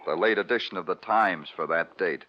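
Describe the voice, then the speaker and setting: An actor playing a dogged, world-weary New York police detective in old radio crime drama style, clipped and deadpan. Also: male voice, low-pitched, brisk, lightly acted. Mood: hard, pressing. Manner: clipped, sardonic questioning and deadpan narration. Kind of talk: 1950s radio crime drama acting and first-person narration. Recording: dull, muffled old radio recording with music.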